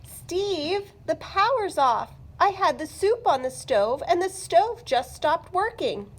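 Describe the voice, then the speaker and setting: Woman doing worried housewife in an amateur script reading, really high voice, goes up and down a lot. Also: very animated, takes it slowly, heavily acted. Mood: flustered. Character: worried suburban housewife, flustered by dead phone and power. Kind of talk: amateur read-aloud of a television script.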